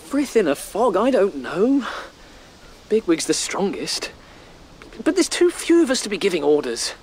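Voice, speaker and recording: A man's voice: high-pitched, big pitch swings, measured pace. An actor playing an earnest, caring young male rabbit who leads his group in a radio drama. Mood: weary, firm.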